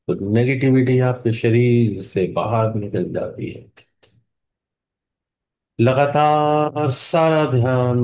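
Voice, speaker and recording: A man with an Indian accent leads a guided meditation in a hushed, calming way, leaving long pauses between short phrases. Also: medium pitch, low-quality recording.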